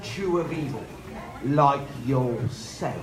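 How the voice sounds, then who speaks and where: An actor playing a doomed, menacing ghost of a sea captain in live old-time radio horror style, speaking portentously with dramatic pauses. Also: male voice, mid-range voice, animated, a crowd in the background. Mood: grim, haunted. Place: on stage in a theater. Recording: echoey live theater, loud music behind.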